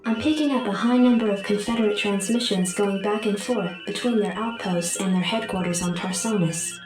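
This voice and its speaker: A woman voicing a calm computer adjutant, echoey. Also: medium pitch, a little fast, over music, natural voice. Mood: reporting. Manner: even, clipped status reports. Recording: echoey, distant comms sound.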